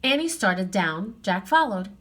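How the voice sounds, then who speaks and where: A woman narrating a children's story aloud in an expressive read-aloud style. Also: medium pitch, measured pace.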